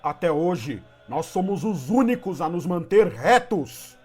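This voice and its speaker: A man's voice: high-pitched, big pitch swings, unhurried, darkish tone, breathy edge, faint music behind, exaggerated voice. A man playing a wrathful, commanding seraph prince in an audio drama, with solemn, theatrical delivery. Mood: scornful.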